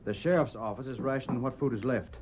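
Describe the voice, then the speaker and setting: A man's voice: mid-range voice, fast talker, slight background noise, natural voice. A man playing a desperate, stubborn townsman in an old radio western, blunt, insistent and folksy. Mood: earnest. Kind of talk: 1940s radio western acting. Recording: dull vintage radio recording.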